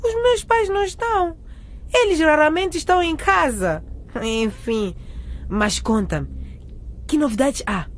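A woman's voice: high-pitched, expressive, measured pace, long pauses. A woman acting a nosy, sardonic teenage best friend in a radio soap, with a dramatic drawl. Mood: sardonic, resigned.

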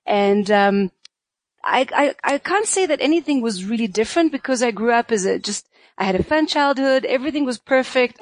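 A woman from Israel, in her forties, answers interview questions earnestly, pausing and hunting for the right words. Her voice sits at an average pitch, and the recording is close and dry with no room sound. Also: dry recording. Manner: earnest, searching for words, many fillers. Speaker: guest, pleasure activist.

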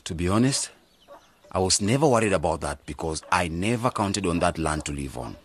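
A man's voice: sits low, animated, a little fast, slight background noise. An actor playing an earnest, idealistic young man returning to his village in a radio drama, speaking with emphasis. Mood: resentful, impassioned. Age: young adult.

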